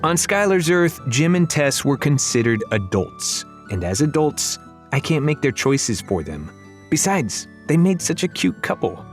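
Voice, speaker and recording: A man's voice: fairly high pitch, big pitch swings, unhurried. A man narrating a children's fantasy audiobook, wry and conversational, speaking straight to the listener. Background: music.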